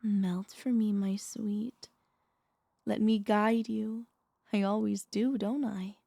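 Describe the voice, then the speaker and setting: A woman voicing a possessive, soothing vampire mistress in an ASMR roleplay, coaxing and commanding in a breathy, often whispering voice. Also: mid-range voice, fairly even intonation, mellow, no room sound. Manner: slow, coaxing, commanding hypnotic delivery. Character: possessive, soothing female vampire mistress hypnotizing her pet.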